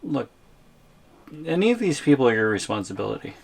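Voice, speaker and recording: A man's voice: mid-range voice, very animated, brisk, creaky. A wary young captive boyfriend , acted by a man, in an ASMR roleplay. His mid-range voice is understated and hesitant. He questions carefully and tries to placate his captor. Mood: defensive.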